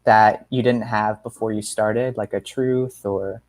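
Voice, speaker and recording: A man's voice: fairly low pitch, steady tone, measured pace. A man in his thirties co-hosts a podcast in a casual, conversational style. He speaks with enthusiasm into a headset on a video call. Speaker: podcast co-host. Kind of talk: casual chat.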